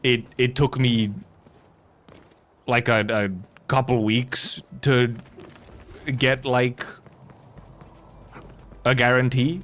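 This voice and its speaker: A man's voice: mid-range voice, level tone, drawn-out words, pauses often, a bit bright. A man livestreaming a game, chatting casually in a rambling, blunt, sweary way. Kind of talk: casual gaming stream chat.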